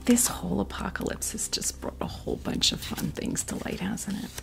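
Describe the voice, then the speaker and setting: A woman chatting in a breathy, creaky whisper for an ASMR unboxing video, rambling hesitantly through little asides as the host. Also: mid-range voice, steady tone, normal speed. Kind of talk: ASMR, soft rambling chat. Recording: music playing behind the voice. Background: clear background music.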